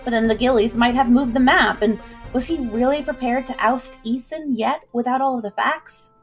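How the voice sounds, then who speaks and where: A woman with a fairly high voice narrates a story dramatically. She reads expressively, building suspense as a storyteller would. Light music plays underneath. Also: normal speed. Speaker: storyteller, livestream host. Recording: music behind the voice.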